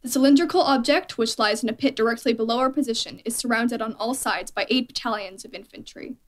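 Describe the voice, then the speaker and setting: A woman's voice: fairly high pitch, fast talker, lightly acted. A woman playing a confident army captain giving an assured field report in a radio play. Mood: official, assured. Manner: assured, dismissive of danger.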